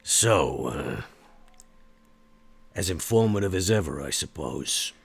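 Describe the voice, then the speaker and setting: A man playing a sly, dryly loyal sneak in a tabletop game, with a gravelly, husky voice and a folksy, hesitant drawl. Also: a bass voice, expressive, slow pace, full character voice. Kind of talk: improvised tabletop roleplay.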